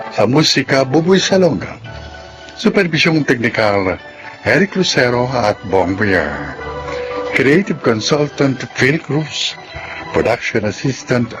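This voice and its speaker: A man's voice: mid-range voice, low-quality recording. A man giving formal, scripted dramatic narration as a radio drama announcer. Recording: voice over clear music.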